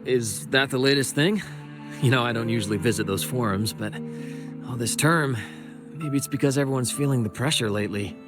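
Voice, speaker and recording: A man's voice: mid-range voice, fairly quick, over music, lightly acted. A man playing an easygoing, slightly awkward young researcher in a video game, friendly and a little hesitant. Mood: easygoing, reassuring. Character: easygoing, optimistic young male academy researcher, a bit awkward.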